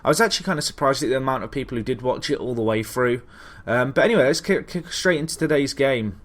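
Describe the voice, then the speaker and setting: A man's voice: medium pitch, animated, fast talker. A man hosting a gaming video with casual, chatty commentary on a football management game, hesitating and thinking aloud as he goes.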